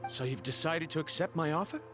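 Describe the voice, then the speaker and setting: A man playing a stubborn, formal scholar in a video game, with a measured, stiff manner. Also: fairly high pitch, over music, close to an everyday voice. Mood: reluctant.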